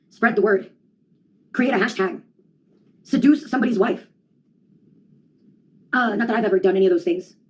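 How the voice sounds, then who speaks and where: A young woman comedian giving a rambling monologue in a deadpan, ironic, slangy way. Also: a little high, breathy edge, poor audio.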